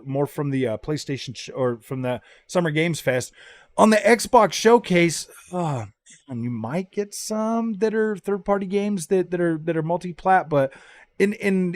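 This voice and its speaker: Guy doing casual gaming rant, kinda high voice, slangy, keeps restarting his sentences, dry close sound. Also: darkish tone, dry recording. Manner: repeats and restarts phrases, slangy. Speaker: gaming YouTuber. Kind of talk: casual opinionated gaming commentary.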